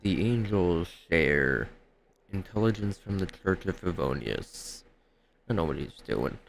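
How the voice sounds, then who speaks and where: An actor playing an observant traveler hero in a fantasy game, terse and gravelly, with reverb and music. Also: male voice, deep voice, very animated, moderate pace, a little hiss or hum. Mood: observant, brooding. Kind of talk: fantasy video game acting. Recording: long reverb, music behind.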